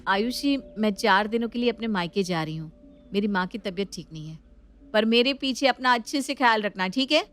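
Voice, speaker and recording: A woman's voice: medium pitch, lively intonation, a little fast, a bit bright, lightly acted. A woman voicing a motherly, protective young sister-in-law in a family story. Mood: concerned. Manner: fussing, protective, soothing.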